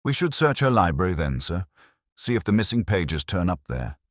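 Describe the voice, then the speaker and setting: A man's voice: sits low, big pitch swings, normal speed, no echo, studio quality, voice pushed up. A man voicing an eager, blundering, easily flustered police sergeant with a blunt, urgent manner.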